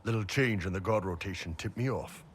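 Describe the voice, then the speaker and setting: A man playing a gruff, low-voiced mercenary warrior. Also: a little fast, a little hiss or hum, close to an everyday voice. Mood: wry. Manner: blunt, wry, swearing soldier. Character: big gruff horned mercenary warrior, wry and loyal. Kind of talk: video game cutscene dialogue.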